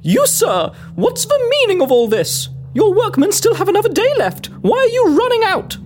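High-pitched haughty lady adventurer, acted by a woman. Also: very animated, moderate pace, a little mellow, a little hiss or hum. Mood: indignant. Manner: pompous, indignant, dramatic. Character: haughty, indomitable older Victorian lady adventurer. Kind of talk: spoken logbook narration, comic period drama.

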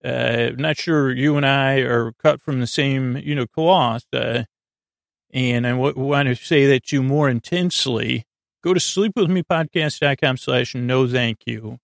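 A man hosts a sleep podcast in a creaky, mid-pitched voice with heavy fry. He rambles soothingly, halting and drifting into tangents as he goes. The sound is dry, with almost no room around the voice. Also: no echo. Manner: meandering, halting, digressive, lulling. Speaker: podcast host.

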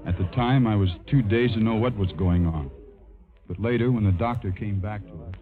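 An actor playing a sincere, plainspoken airline pilot in a 1950s radio drama. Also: male voice, fairly low pitch, a little fast, lightly acted. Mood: somber narration. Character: earnest, lovestruck male airline co-pilot narrating. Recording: phone-like vintage radio broadcast.

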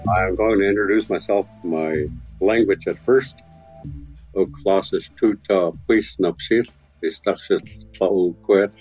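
A man in his sixties from Vancouver Island's west coast, an elder and carver telling stories slowly and gently from his home workshop. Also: low voice, muffled recording, soft background music. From west coast of Vancouver Island, Canada. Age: 60s. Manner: slow, gentle, unhurried telling with soft chuckles. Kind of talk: reflective storytelling.

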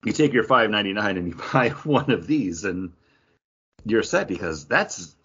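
A man with a fairly low voice talks as a podcast host in a casual review style. His delivery is conversational and full of slangy asides. The audio is slightly dull and lo-fi. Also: animated, moderate pace, fairly bright tone, husky.

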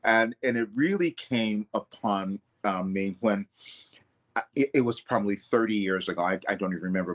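Man, earnest, reflective, self-correcting, middle pitch, interview guest. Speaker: guest, vocal coach.

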